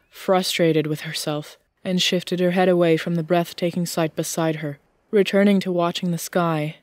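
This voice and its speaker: Slightly low-voiced woman narrating, close and dry. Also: a little mellow, no echo. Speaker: audiobook narrator. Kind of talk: scripted fiction narration.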